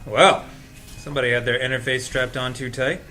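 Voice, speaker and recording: Man as young customer, cocky, breezy, theatrical, bright tone, audio drama read-through, music behind. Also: mid-range voice, steady tone, brisk, lightly acted. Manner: theatrical, teasing. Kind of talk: cast table reading of audio drama.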